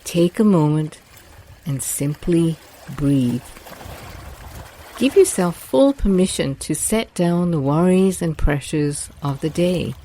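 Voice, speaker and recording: A woman leads a guided meditation in a soft, mellow voice, taking her time over every phrase. Her delivery is slow and soothing, with gentle water sounds faintly behind her. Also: low-pitched, lively intonation, a little hiss or hum.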